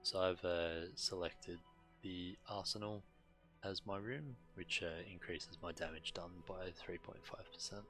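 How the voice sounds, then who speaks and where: A man giving casual, matter-of-fact and slightly halting gameplay commentary as a streamer, reading on-screen text aloud. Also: low voice, music in the background. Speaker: game streamer.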